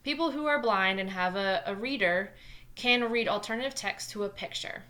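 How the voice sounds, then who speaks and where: Fast-talking New Jersey woman teacher. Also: mid-range voice, pushed and bright. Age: 20s. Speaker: math teacher. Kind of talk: scripted lesson narration.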